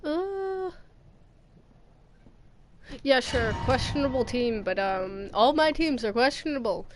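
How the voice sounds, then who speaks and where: A woman's voice: high-pitched, lively intonation, slow pace, slight background noise. A woman doing casual gameplay commentary, rambling and thinking aloud in a playful, exclaiming way. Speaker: game streamer. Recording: game music behind the voice. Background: video game music.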